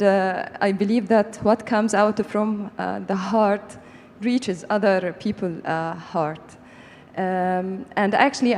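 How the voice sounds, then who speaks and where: A woman content creator speaking haltingly as a panelist about her work, on stage in a large hall. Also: medium pitch, darkish tone. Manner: halting, hesitant delivery. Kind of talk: panel talk. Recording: reverberant hall, stage sound.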